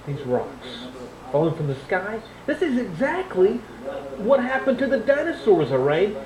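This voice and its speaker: A woman's voice: medium pitch, very animated, moderate pace, crowd noise, lightly acted. A woman playing an irritable, paranoid, sardonic doomsayer with a curt manner in a radio drama. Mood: doom-laden, sardonic. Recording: echoey room playback.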